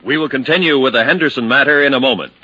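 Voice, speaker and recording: Very forceful old-time radio announcer man. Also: mid-range voice, rapid-fire, slightly rough, soft background music. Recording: dull old radio recording.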